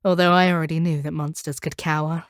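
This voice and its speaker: Fantasy audio drama: actress as a ruthless, commanding woman monster hunter. Lowish register, haughty and stern delivery, very dry sound. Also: flat delivery, fast, dry recording, natural voice. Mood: cool, appraising. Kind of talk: scripted audio roleplay acting.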